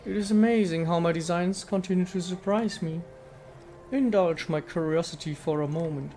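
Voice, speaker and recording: A man's voice: a little high, moderate pace, dark tone, soft background music, lightly acted. A man voicing an ancient female nature spirit from a video game, speaking in a serene, formal, poetic manner. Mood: gracious, wistful. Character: ancient female statue goddess spirit, serene, aloof, contemplative. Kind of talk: dramatic reading of video game dialogue.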